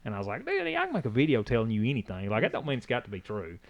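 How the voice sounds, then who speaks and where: A man's voice: mid-range voice, huge pitch swings, rapid-fire, creaky, no echo, lo-fi sound. A man, chatting on a podcast as a co-host and telling a rambling story. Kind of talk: casual chat.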